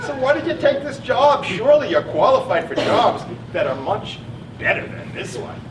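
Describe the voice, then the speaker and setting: A man's voice: very high-pitched, very animated, moderate pace. A man acting in a stage play as a talkative, needling know-it-all who lectures and argues insistently. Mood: insistent, probing. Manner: pedantic, argumentative, insistent. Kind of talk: live one-act stage play acting. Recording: echoey stage from far off, noisy audience.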